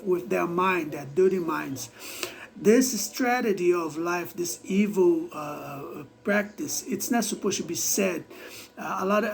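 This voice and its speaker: A Brazilian man with an accent talking to camera in a casual vlog monologue, rambling and halting, with many restarts. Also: sits high, vocal fry. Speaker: vlogger.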